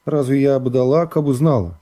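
A man's voice: mid-range voice, brisk, warm tone, close to an everyday voice. A man voicing a frightened but defiant young woman defendant giving plain, artless testimony in a dramatised radio reading. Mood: weary, explaining.

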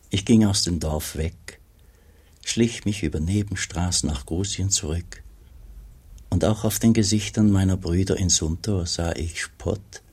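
Very deep-voiced, husky old monk. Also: male voice, exaggerated voice. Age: older. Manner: slow, grave, contemplative. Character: aged pious monk, humble, sorrowful, self-searching. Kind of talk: radio play narration and dialogue.

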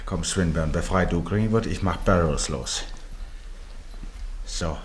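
Easygoing gentleman with a lowish voice. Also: takes it slowly, a little hiss or hum. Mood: breezily content. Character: easygoing gentleman, unconcerned and sociable. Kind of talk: radio play acting.

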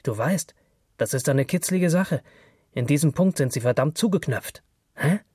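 A man voicing a sly young sidekick who flatters with a needling, sceptical edge, in an audiobook reading. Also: fairly high pitch, normal speed, husky, no room sound, clean studio sound. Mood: doubtful. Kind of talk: audiobook character dialogue.

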